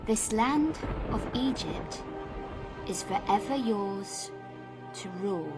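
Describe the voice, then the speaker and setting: A woman's voice: mid-range voice, animated, takes it slowly. An actress playing a solemn, regal woman of ancient Egypt in a video game, speaking in a stately, incantatory way. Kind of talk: video game cutscene acting. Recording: loud music over the voice.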